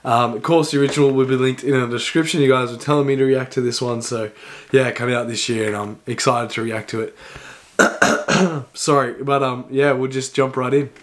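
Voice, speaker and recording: A man hosting a music reaction video in a relaxed chatty way, a little hoarse from a cold and coughing now and then. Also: medium pitch, a little fast. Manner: chatty, talks over the song with short reactions. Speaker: reaction channel host. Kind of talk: casual music reaction chat.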